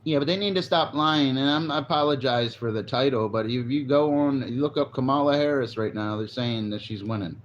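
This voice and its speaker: A man's voice: mid-range voice, fairly quick, hardly any pauses, dull audio, a little hiss or hum. A forty-year-old man livestreaming, chatting casually and rambling, swearing now and then. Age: 40s. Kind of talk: casual live stream chat. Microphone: phone on a selfie stick.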